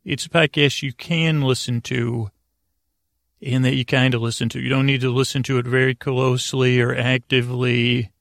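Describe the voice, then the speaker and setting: Male sleep-podcast host: flat intonation, gravelly fry, halting, meandering, low-key delivery. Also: no room sound, clean studio sound. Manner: meandering, halting, frequently digressing and losing his thread; low-key, soothing, deliberately unengaging. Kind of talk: rambling sleep-podcast monologue.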